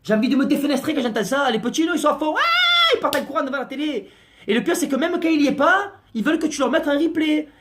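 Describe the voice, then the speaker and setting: A man's voice: very high-pitched, quick pace, a bit bright, a little hiss or hum. Exasperated ranting man. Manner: slangy, exasperated, mock-outraged. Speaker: comic vlogger. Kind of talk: comic rant to camera.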